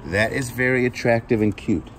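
A man's voice: medium pitch, moderate pace. A man chatting casually to viewers while unboxing shoes outdoors, filming first-person. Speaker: storage auction vlogger. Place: outdoors by a cardboard box. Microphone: handheld camera, first-person view. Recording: loud outdoor background noise.

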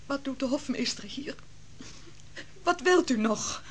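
An actress playing Susanna, a proud noblewoman falsely accused, speaking in a hushed, intense way in a vintage radio drama. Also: sits high, lively intonation, measured pace.

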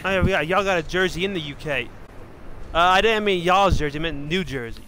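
A man's voice: a little high, brisk, sharp tone, a little hiss or hum. A man streaming a game, talking casually in a blunt, profane, argumentative way. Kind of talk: casual gaming chat.

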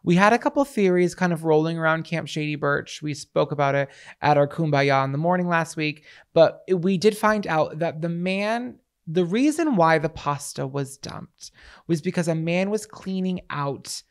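A man's voice: a little high, normal speed, clean tone. An American man in his thirties from Massachusetts chatting casually and playfully as a podcast co-host into a close handheld microphone. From Massachusetts, United States. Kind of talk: casual comedic podcast banter. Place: small decorated indoor podcast set. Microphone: handheld microphone held close.

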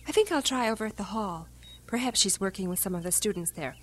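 A woman's voice: mid-range voice, expressive, quick pace, slightly rough. An actress playing a frank, bustling missionary woman and matchmaker in an audio drama, forthright in manner.

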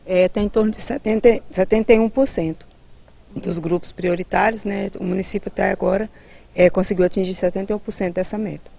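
Mellow, halting Brazilian woman explaining. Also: mid-range voice, steady tone, creaky. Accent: Brazilian Portuguese. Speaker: public health immunization director. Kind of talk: interview answers, explanatory. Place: outdoors in a building's garden. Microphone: handheld reporter microphone held toward her.

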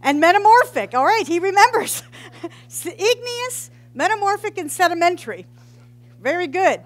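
A woman's voice: extremely high pitch, very animated, normal speed. A middle-aged woman, a geology professor, giving an informal public science talk into a headset mic in a large hall, joking and quizzing the audience. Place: large hall with brick walls.

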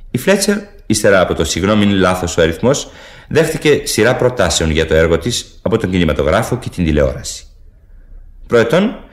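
A man presenting a radio play in a formal, measured, scripted style. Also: lowish voice.

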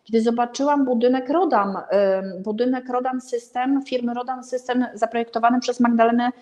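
A woman's voice: a little high, fairly even intonation, moderate pace, a little mellow. A middle-aged woman gives an informal spoken review to camera, searching for words and leaning emphatically on key ones. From Warsaw, Poland. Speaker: presenter of an art and architecture vlog. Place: small indoor room with paintings and a bed.

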